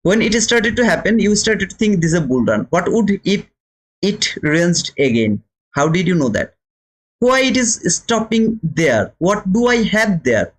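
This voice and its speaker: A man's voice: high-pitched, very animated, a little slow. A man with a Bangladeshi accent hosting a live trading lesson, speaking emphatically to viewers, repeating phrases and posing rhetorical questions. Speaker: trading teacher and livestream host. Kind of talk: live instructional commentary, talking to viewers.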